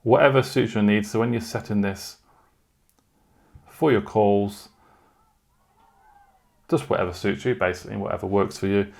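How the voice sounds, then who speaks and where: A man's voice: fairly low pitch, steady tone, normal speed, creaky, long pauses. A man talking casually through a product unboxing and review in an informal way, with short asides.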